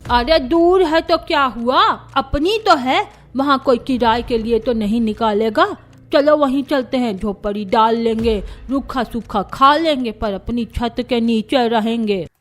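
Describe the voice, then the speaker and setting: A woman's voice: on the high side, a little slow, natural voice. A woman voicing a grumbling older mother-in-law in a cartoon story, plaintive, exclaiming and melodramatic. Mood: hopeful. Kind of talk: Hindi cartoon story voice acting.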